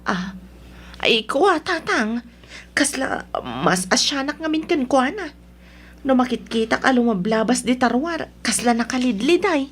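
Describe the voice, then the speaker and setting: A woman acting a curious, concerned Ilocano daughter in a Filipino audio drama. Her voice is high, with a breathy husk to it. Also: lively intonation, unhurried, fairly bright tone, exaggerated voice. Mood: curious, pitying. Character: concerned, curious neighbour, child of the household.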